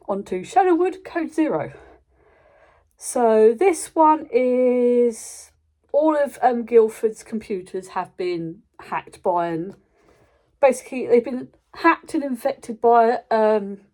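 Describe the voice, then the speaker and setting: A woman's voice: fairly high pitch, mellow. A British woman chatting casually and unscripted as a channel host giving a review, in an informal, rambling way, often interrupting herself.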